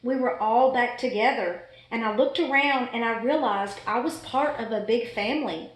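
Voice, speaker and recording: Woman acting storybook goldfish, fussy, exasperated, dramatic diary-style delivery, higher-pitched, roomy echo. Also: normal speed, slight background noise, natural voice. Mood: relieved, happy. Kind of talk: children's storybook read-aloud. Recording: echoey room.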